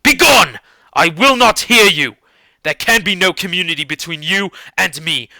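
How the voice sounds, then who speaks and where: Guy doing a furious horrified scientist, high sharp voice swooping around, declamatory old-book drama. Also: animated, moderate pace, no room sound, exaggerated voice. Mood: defiant, furious. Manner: declamatory, vehement. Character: horrified, wrathful male scientist rejecting his creation. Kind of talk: dramatic literary monologue.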